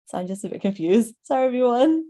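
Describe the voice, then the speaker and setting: A woman's voice: fairly high pitch, expressive, fast, dark tone. A woman council member raising a question at a meeting, hesitant, with lots of fillers.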